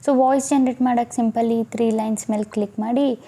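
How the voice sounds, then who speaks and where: A woman's voice: fairly high pitch, steady tone, a little fast, dark tone. A woman giving a casual step-by-step tutorial, often checking in with her listeners. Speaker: tutorial host.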